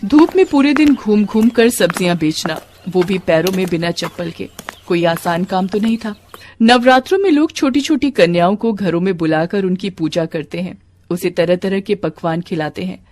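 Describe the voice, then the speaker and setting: A woman's voice: lowish voice, animated, moderate pace, a little mellow, a little hiss or hum. A woman narrating a scripted devotional story as a storyteller.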